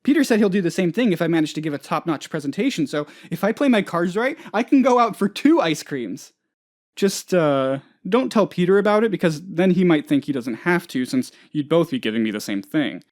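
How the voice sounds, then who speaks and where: An actor playing a naive, anxious, scatterbrained office boss who rambles and gets sidetracked, in a video game. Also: male voice, fairly high pitch, expressive, a little fast, a little mellow, close to an everyday voice. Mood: eager, scatterbrained. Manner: rambling, absent-minded, easily sidetracked. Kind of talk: video game character voice lines.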